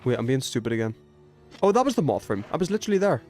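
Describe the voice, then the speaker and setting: A man talks his way through a livestreamed game with an animated, mid-pitched voice. He thinks aloud in casual slang, often questioning himself and trailing off. Music plays faintly behind him. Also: very fast. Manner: informal slangy speech. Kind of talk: casual livestream gameplay chat.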